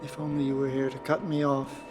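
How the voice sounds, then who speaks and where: A man voicing a wistful, chatty young merchant in a video game, rambling and halting as he speaks. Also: medium pitch, completely flat delivery, music in the background, close to an everyday voice. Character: grieving young man, friendly, chatty merchant guild rival.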